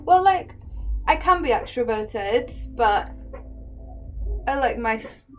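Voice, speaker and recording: A woman's voice: on the high side, expressive, takes it slowly, soft background music. An English woman with a slight Welsh lilt, chatting casually and hesitantly as she paints on a livestream, sounding shy and self-conscious. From England. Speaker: live streamer painting on camera. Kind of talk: casual chat while painting.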